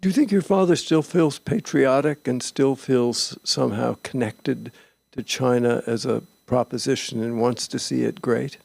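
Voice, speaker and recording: Gravelly-voiced male moderator. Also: medium pitch, normal speed, darkish tone, dry recording. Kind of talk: running an audience Q&A.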